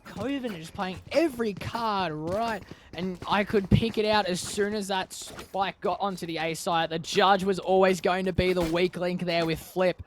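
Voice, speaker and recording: A man doing esports color commentary in a fairly high voice, mostly steady and analytical but bursting into shouts when the big plays land. There's clear noise running behind him. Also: normal speed. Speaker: esports co-caster.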